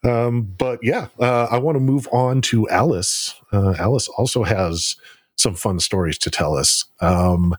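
Husky-voiced man hosting a podcast. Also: on the low side, dry recording. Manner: halting, with many fillers. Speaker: podcast host. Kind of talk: casual chat.

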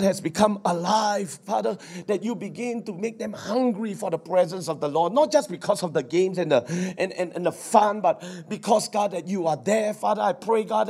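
Singaporean pastor guy, worn hoarse husky voice, pitched high, keeps saying amen. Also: a little mellow. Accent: Singaporean English. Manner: fervent, repetitive, peppered with "Amen". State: hoarse from preaching. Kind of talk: sermon with prayer. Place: church service.

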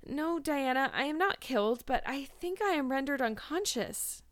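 Storybook girl, played by a woman: high, clear, earnest, theatrical, talkative. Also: a little fast, no echo. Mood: weak, pained. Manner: solemn, grandly wordy, theatrical. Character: earnest, dramatic young girl, plucky and talkative. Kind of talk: storybook character voice.

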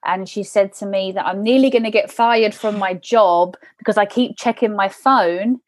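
A woman hosting an interview, thanking guests and handing over to the next speaker in a brisk, upbeat way. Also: medium pitch, dry recording. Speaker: host.

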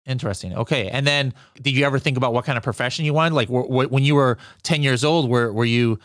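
A man's voice: mid-range voice, quick pace, a bit bright, dry recording. A man hosting a podcast interview, upbeat and jokey, with frequent fillers. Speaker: podcast host. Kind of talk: casual interview chat.

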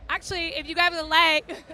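A woman's voice: very high voice, bright, forceful tone. A woman hosting interviews at a crowded expo, flirty, teasing and upbeat. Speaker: host and interviewer. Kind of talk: playful interview. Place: crowded adult expo hall.

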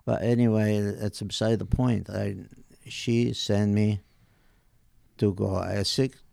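An Italian man of eighty with an Italian accent, telling his life story in halting, hesitant English as an interview guest. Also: fairly low pitch, steady tone, gravelly, mellow, dry recording, studio quality. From southern Italy. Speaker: podcast guest, retired tailor.

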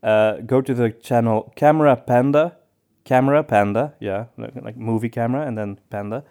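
Podcast-Moderator mit mittlerer Männerstimme. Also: male voice, medium pitch, normal speed, warm tone, clean tone. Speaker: podcast host. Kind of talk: casual podcast talk.

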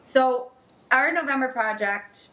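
A woman's voice: high-pitched, takes it slowly, sharp tone, highs cut off. A woman hosting a casual podcast chat from a studio, upbeat and chatty, laughing easily. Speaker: podcast host. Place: recording studio with guests.

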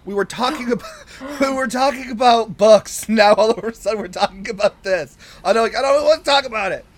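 A man with a fairly high voice shares an anecdote in loose podcast conversation. The track is slightly noisy and clicky. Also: a little fast. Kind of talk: casual anecdote in podcast chat.

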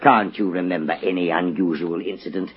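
An actor playing a gloating, mock-polite villain with a British accent and a husky voice in an old-time radio drama. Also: male voice, low voice, steady tone, fairly quick. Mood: gloating menace. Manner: theatrical, mock-polite, taunting, swooping emphasis. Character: gloating, sinister villain, an expert in tropical diseases. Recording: muffled vintage radio broadcast recording.

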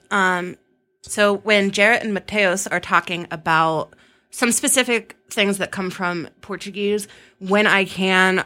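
A woman's voice: fairly low pitch, bright, forceful tone. A woman co-hosting a podcast, explaining things in a friendly, casual way. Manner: friendly, explanatory, says y'all. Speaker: podcast co-host.

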